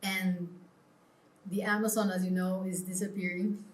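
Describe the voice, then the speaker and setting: A woman's voice: mid-range voice, little pitch movement, normal speed, slight background noise. A woman from Peru speaking as an interview guest, explaining her views earnestly in a conversational way. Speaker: interview guest, clothing brand founder. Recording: roomy, echoing sound.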